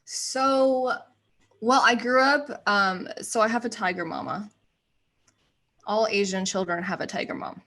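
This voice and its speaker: A woman in her thirties from the United States talks as a guest on an interview show. Her voice sits at a middle pitch. She is chatty and laughs easily, filling her thoughts with "um" and "like". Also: measured pace, fairly bright tone. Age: 30s. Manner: chatty, laughs often, many fillers. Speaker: guest, actor and writer. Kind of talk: casual interview chat.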